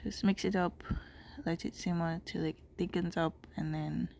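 Low gravelly Jamaican woman, stuffy. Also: steady tone, normal speed, darkish tone, a little hiss or hum. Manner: chatty, rambling, talking while cooking. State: stuffy from a cold. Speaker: cooking vlogger. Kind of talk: casual narration over cooking footage. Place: home kitchen, indoors. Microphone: camera mic from across the kitchen.

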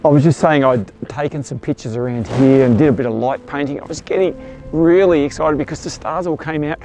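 A man's voice: fairly high pitch, fairly quick, dark tone, faint music behind, rough recording. A man, chatting enthusiastically to camera outdoors and explaining his photography as he goes. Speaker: astrophotographer vlogger. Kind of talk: casual talk to camera. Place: outdoors at night in the bush, then a bush camp in the morning. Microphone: clip-on mic.

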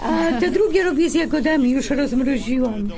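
A woman's voice: high-pitched, lively intonation, moderate pace, crowd noise. An actress playing a frank, bossy but caring wife who scolds her husband and probes her friend. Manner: blunt and teasing, scolds and probes. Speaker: Polish wife cooking pierogi. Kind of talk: audio drama acting.

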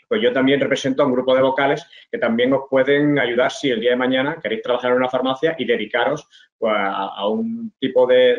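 A middle-aged man from Spain walks students through his slides in a relaxed, halting way, often pausing on fillers and restarting phrases. His voice comes through a webcam with a slightly dull, lo-fi sound. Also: mid-range voice. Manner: hesitant, many fillers and restarts, explaining to students. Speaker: pharmacist giving a webinar talk. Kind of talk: informal online presentation over slides. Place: indoors at a desk. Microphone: webcam video call.

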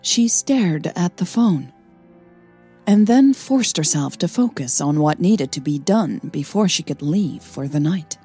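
A woman's voice: fairly low pitch, lively intonation, unhurried, warm tone, breathy edge, soft background music. A woman narrating scripted audio fiction as a storyteller.

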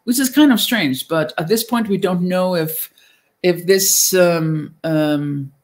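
A woman's voice: fairly low pitch, takes it slowly. Icelandic woman giving a hesitant academic talk. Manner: hesitant, many fillers and restarts. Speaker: linguistics lecturer. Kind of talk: academic conference talk over slides. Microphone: webcam on video call.

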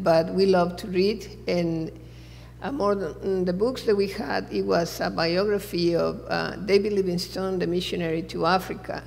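Creaky-voiced woman in her sixties, echoing church. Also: lowish voice. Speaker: missionary guest. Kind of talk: personal testimony to a congregation. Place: large church sanctuary, seated on stage. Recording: reverberant church hall.

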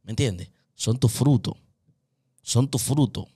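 Animated Dominican man hosting a podcast. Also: medium pitch, takes it slowly, breathy edge. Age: 30s. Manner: animated, gestures widely, preachy. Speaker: host. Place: small indoor home studio. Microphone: studio mic on stand, wearing headphones.

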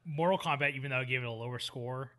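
A man's voice: mid-range voice, quick pace, a bit bright. A man co-hosting a casual movie podcast, blunt and jokey. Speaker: podcast co-host. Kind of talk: casual chat.

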